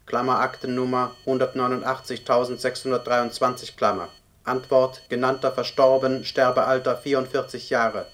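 Actor as a monotone bureaucratic computer. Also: male voice, medium pitch, normal speed, slight background noise, natural voice. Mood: impassive. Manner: flat, mechanical, clipped officialese. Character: impersonal bureaucratic computer reading out data messages. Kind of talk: German radio play acting.

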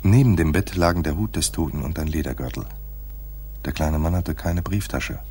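An actor playing a laconic hard-boiled private detective in a noir radio play, with a dry, terse delivery and a husky voice. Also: male voice, normal speed, dark tone. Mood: dry, detached. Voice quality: husky, very deep. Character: hard-boiled male private detective narrating his own case. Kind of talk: noir radio play, first-person narration.

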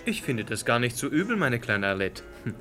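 Lively, cocky young student played by a man. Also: mid-range voice, brisk, music in the background, close to an everyday voice. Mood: teasing. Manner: flippant, breezy, mocking. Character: cocky, teasing young male student friend. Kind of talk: German radio play acting.

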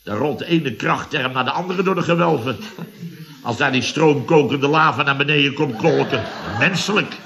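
An actor playing a grumbling, sarcastic middle-aged builder boss, blustering and exasperated, in 1970s Dutch radio comedy style. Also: male voice, mid-range voice, moderate pace, vocal fry, bright, forceful tone. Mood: indignant.

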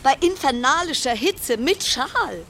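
An actress in a German radio play voicing a frayed, suspicious cruise ship passenger in a brooding monologue of broken-off, repeated phrases. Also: female voice, sits high, animated, measured pace, a bit bright, a little hiss or hum, exaggerated voice. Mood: suspicious, uneasy. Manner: fragmented, broken-off sentences, repeating words. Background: music.

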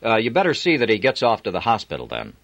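A man's voice: fairly low pitch, wildly swooping pitch, quick pace, fairly bright tone, highs cut off, voice pushed up, full character voice. A man voicing an officious male customs officer questioning travellers.

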